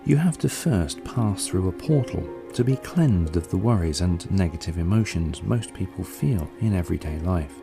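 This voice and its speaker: Low male voice, gravelly creak, calm and soothing, guided meditation narration, faint music behind. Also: moderate pace, hardly any pauses. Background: soft background music.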